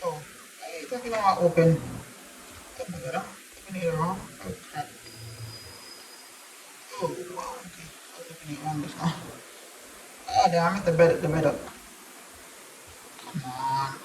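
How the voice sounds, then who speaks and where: A woman's voice: low voice, moderate pace, pauses often, noisy background. A woman, chatting casually to her viewers at home. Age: 30s. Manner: chatty and animated, laughs often, talks to viewers directly. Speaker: vlogger. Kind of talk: casual vlog chat to camera. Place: at home in an apartment.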